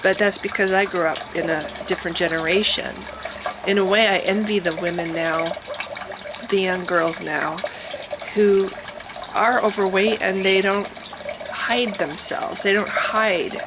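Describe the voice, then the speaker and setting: A woman's voice: medium pitch, fairly even intonation, unhurried, background noise. Nasal middle-aged woman musing candidly. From Wisconsin, USA. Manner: rambling, candid, thinking aloud with many restarts. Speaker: vlogger. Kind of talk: personal monologue to camera. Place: her home with water fountains running.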